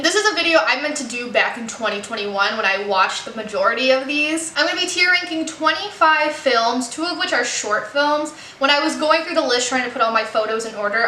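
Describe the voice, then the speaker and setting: A young American woman chatting casually to her channel, upbeat and quick to laugh. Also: high-pitched, bright, forceful tone. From United States. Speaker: YouTube creator. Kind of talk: casual chatty video commentary. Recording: echoey room with faint background noise.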